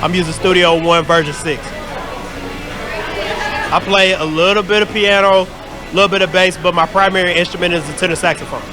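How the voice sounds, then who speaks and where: A man from St. Louis, a music producer, answering interview questions in a relaxed, reflective way. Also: sits high, brisk, pauses often, a crowd in the background. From St. Louis, USA. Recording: music playing behind.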